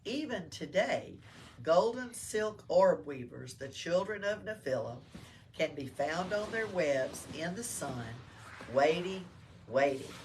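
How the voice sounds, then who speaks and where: A woman's voice: on the low side, expressive, takes it slowly, a little mellow. A woman reading a storybook aloud to children as a library storyteller. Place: indoors in a library. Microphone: distant room mic. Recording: distant mic with faint background noise.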